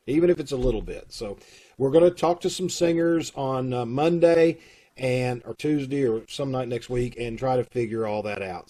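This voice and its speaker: A man's voice: mid-range voice, a little mellow, breathy edge. Kentucky guy rambling thru announcements on a zoom call, folksy, lots of uhs. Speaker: theater troupe leader. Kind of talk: casual announcements. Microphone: video call.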